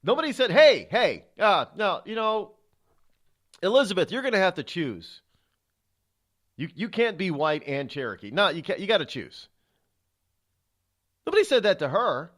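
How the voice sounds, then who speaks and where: A man's voice: high voice, sing-song, moderate pace, long pauses, a bit bright. A middle-aged man hosting a talk radio show, delivering an indignant, rhetorical monologue with frequent stumbles and fillers.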